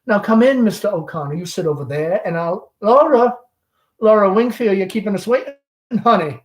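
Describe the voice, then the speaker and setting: A man's voice: high-pitched, normal speed, lightly acted. A man voicing a chatty middle-aged Southern belle mother, genteel and fretful, with a gushing, rambling, theatrical manner, in a stage play reading. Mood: insistent.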